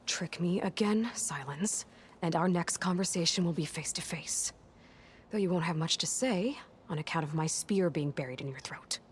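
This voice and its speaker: A woman's voice: lowish voice, moderate pace, slight background noise, close to an everyday voice. An actress playing a driven, sardonic young female outcast warrior in a video game cutscene. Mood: wary, threatening. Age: young adult. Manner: sardonic, terse.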